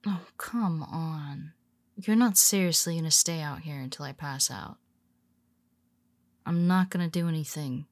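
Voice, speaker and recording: A woman playing a weary, teasing female superhero who speaks softly to her nemesis, often dropping to a whisper. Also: low voice, measured pace, pauses often, no room sound. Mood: wry teasing. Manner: teasing, soft-spoken, slips into whispers. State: tired. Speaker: audio roleplay performer. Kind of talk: intimate audio roleplay.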